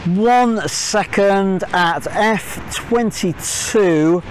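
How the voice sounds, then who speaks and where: A man's voice: high-pitched, drawn-out words, slight background noise, poor audio. A middle-aged man teaching a photography technique outdoors by a waterfall, explaining step by step and thinking aloud with small fillers. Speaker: photography tutor. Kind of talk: outdoor instructional talk to camera. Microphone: clip-on mic, camera at mid distance.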